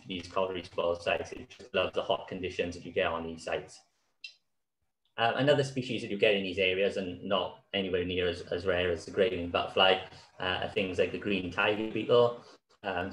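A man, giving an online conference talk over slides in a relaxed way with many fillers, his voice a little hoarse. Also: sits low. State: slightly hoarse. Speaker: conservation speaker. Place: small room at home. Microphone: video call. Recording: echoey room on a video call.

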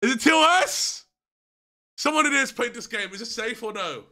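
Excitable man streaming, high swooping voice. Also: a little fast. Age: 20s. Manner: excitable, slangy. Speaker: livestream host. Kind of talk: casual reaction chat to stream viewers. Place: small decorated room at home. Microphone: close desk microphone on a boom arm.

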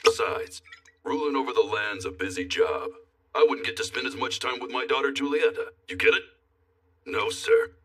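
An actor playing a big, hearty, dim but noble dragon warrior in an animated dub, with a gravelly theatrical voice. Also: male voice, mid-range voice, normal speed, distant mic, a little hiss or hum, natural voice. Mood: hearty, musing.

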